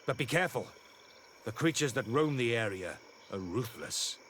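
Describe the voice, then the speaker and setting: An actor playing a self-righteous, scheming priest in a video game cutscene, with haughty, impassioned declaiming. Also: male voice, medium pitch, animated, normal speed, fairly bright tone, slight background noise, natural voice. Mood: cautioning.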